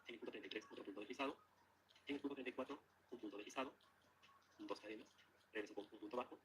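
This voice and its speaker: A man's voice: lowish voice, racing, background noise. A man giving methodical, matter-of-fact, step-by-step craft instructions as a narrator. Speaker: crochet instructor.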